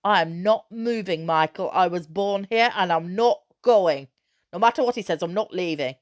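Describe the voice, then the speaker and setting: A woman's voice: on the low side, moderate pace, highs cut off, close to an everyday voice. A woman voicing an emphatic, colloquial working mother with a stubborn, emotional temper in a storybook reading. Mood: tearful, resolute. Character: distraught, stubborn, angry working mother of a boy.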